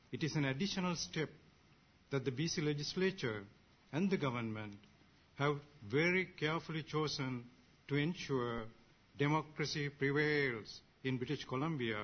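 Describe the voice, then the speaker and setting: Sinhalese-native man from Sri Lanka, formal assembly speech, muffled lo-fi recording. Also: medium pitch. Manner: measured, ceremonious, short phrases. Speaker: assembly member.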